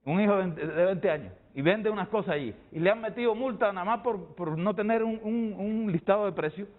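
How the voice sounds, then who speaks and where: A middle-aged man with a Cuban accent testifies in a heated panel debate. His voice is notably high and he repeats phrases for emphasis. The sound is dull and band-limited. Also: fairly quick. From Cuba, rural. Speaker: debate guest, doctor.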